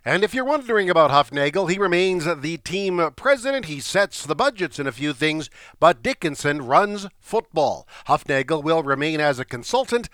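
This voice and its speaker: Lively-voiced male sports show host. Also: fairly high pitch, dry recording, clean studio sound. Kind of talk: scripted sports commentary.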